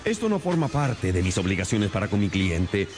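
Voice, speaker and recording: A shrewd, stern radio-drama detective with a lowish, hugely animated voice. He lays things out deliberately and theatrically, over music. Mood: indignant.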